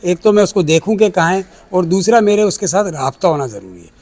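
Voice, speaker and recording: A Pakistani-accented man with a high-pitched voice gives explanatory interview answers as an expert. He lays things out step by step, dropping English technical terms into his sentences. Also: animated, a little fast, a little mellow. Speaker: air traffic control chief, aviation expert. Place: air traffic control centre.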